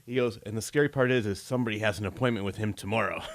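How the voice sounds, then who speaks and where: A man's voice: on the low side, very fast. A man hosting a chatty interview show, with a jokey, teasing way of talking. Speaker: talk show host.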